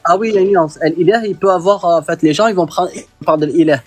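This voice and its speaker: A man's voice: high-pitched, fast, breathy edge, soft background music. A man whose first language is Arabic, explaining religious ideas in a live debate, hesitant with many fillers and repeated words. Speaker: guest debater.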